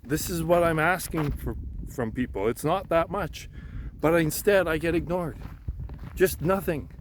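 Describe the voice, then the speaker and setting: A man in a halting, rambling unscripted monologue, frustrated and insistent, swearing and repeating himself. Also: sits high. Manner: frustrated, insistent, profanity-laced; halting, repeats words and restarts. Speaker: amateur theorist making videos. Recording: noticeable background noise.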